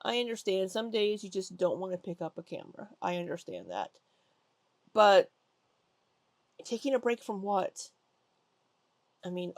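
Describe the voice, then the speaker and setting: A woman's voice: fairly low pitch, a little mellow, dry recording. Congested woman giving critical commentary. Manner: chatty, critical, many ums. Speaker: commentary YouTuber. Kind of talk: reaction commentary over clips.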